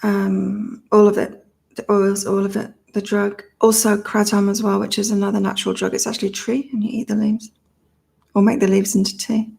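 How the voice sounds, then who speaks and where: Middle-aged British-born woman, psychic livestream host, monotone, loose and repetitive. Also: mid-range voice, fairly quick, creaky. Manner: rambling, thinks aloud, repeats herself. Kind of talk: casual live chat reading viewer comments.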